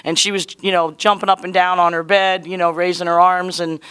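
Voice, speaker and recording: Woman coach, postgame presser, earnest and conversational, bright smooth voice with a touch of cold-hoarseness. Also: fairly low pitch, level tone, fairly quick. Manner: earnest, conversational, proud of her players. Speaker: basketball head coach.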